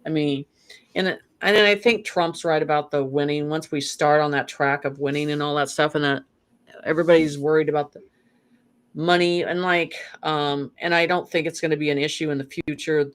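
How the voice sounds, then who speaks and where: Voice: mid-range voice, fairly even intonation, normal speed, fairly bright tone, clear, resonant tone. A guest speaking earnestly in a casual chat, rambling with many fillers and restarts.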